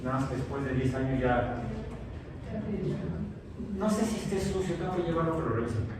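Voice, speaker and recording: A man's voice: mid-range voice, lively intonation, moderate pace. A man, a science teacher chatting casually with his class, telling rambling stories full of fillers and restarts. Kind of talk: casual chat with students before class. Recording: very echoey room, distant mic, background noise.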